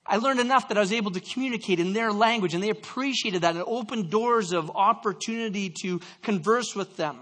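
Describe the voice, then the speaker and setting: High-voiced preacher telling stories. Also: male voice, fairly quick, few pauses, fairly bright tone. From Canada. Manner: storytelling with self-deprecating asides and repeated restarts. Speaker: pastor. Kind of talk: sermon.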